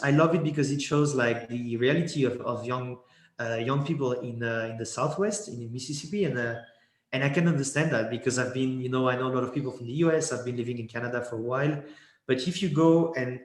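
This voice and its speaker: A young man, a guest in a casual interview, talking in a rambling way with many restarts and fillers. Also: mid-range voice. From Switzerland. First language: French. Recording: echoey room.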